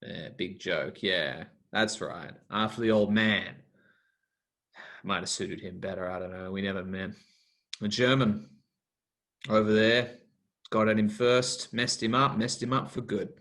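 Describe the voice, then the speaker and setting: A man's voice: fairly low pitch, steady tone, measured pace, fairly bright tone. An actor playing a bitter, hostile young man, mocking and contemptuous. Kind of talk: broadcast drama acting.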